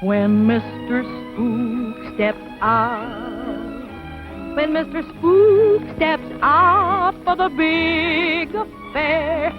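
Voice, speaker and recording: Very high, slow-singing woman, vintage novelty song. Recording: dull old record with music.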